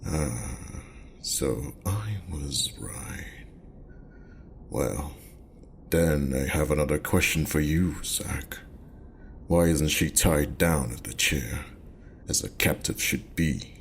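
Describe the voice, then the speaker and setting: A man plays a menacing gangster stepbrother in an ASMR roleplay. The voice sits extremely low and crackles with gravel, drawling slowly as it mocks and taunts. There is some background noise. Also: exaggerated voice. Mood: menacing, probing. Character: menacing, mocking gangster stepbrother, leering at a captive. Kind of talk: ASMR audio roleplay acting.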